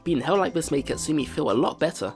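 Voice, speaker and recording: Male let's play host, higher-pitched voice, game commentary and read-aloud story text, music behind. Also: lively intonation, fast talker.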